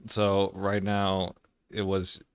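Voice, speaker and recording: A man's voice: low voice, completely flat delivery, extremely slow, clear, resonant tone. A man hosting a casual reaction, commenting analytically and explaining what he notices.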